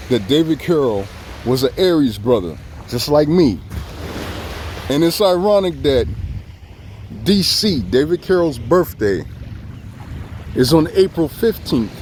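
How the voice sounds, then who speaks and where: A man from Southern California with a Southern Californian accent, filming himself outdoors by the beach and talking casually in a relaxed, rambling way that circles back on itself. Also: on the high side, slow, pauses often, slight background noise. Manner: relaxed, rambling, repeats himself, chuckles often. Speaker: vlogger. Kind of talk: casual tribute vlog. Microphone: phone or camera filming himself.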